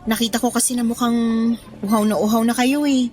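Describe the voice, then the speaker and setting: A high, youthful female voice from an actress plays a kind student in a radio drama. She is gentle and deferential to her elders, with faint music underneath. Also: fairly even intonation, brisk, close to an everyday voice. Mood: kind, eager. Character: kind, respectful young college student daughter, working at an eatery. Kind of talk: Tagalog radio drama acting.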